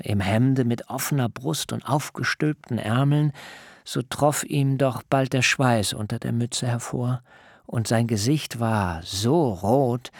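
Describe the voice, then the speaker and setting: An actor playing a shrewd, self-possessed son-in-law in a literary radio drama, speaking in a measured, articulate way. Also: male voice, medium pitch, gravelly, no room sound, clean studio sound.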